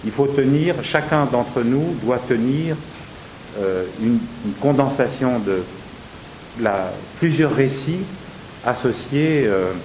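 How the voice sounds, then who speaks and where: A man's voice: mid-range voice, animated, slow, a little mellow, poor audio. A man, an actor, talking through his theatre work with many restarts and hesitations. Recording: hissy recording.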